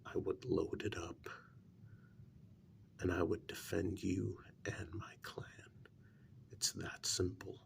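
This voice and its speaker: Man playing a gravelly, half-whispering devoted vampire's familiar. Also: sits low, level tone, heavily acted. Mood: resolute, protective. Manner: slow, deliberate, hushed, with long pauses. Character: devoted, intense human familiar of a vampire queen. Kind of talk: soft-spoken ASMR role-play.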